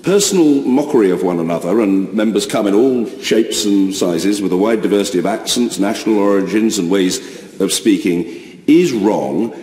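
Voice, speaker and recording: Orotund man giving a deliberate ruling. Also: lowish voice, lively intonation, warm tone. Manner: orotund, deliberate, elaborate phrasing. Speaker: speaker of the house. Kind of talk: formal chairing ruling. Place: large debating chamber. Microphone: chamber microphone, seated. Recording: roomy chamber with long reverb.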